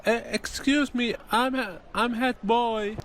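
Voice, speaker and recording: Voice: medium pitch, fairly even intonation, slow, fairly bright tone, a little hiss or hum. A performer voicing a self-important, officious teenage schoolboy prefect in a fan audio drama. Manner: pompous, officious.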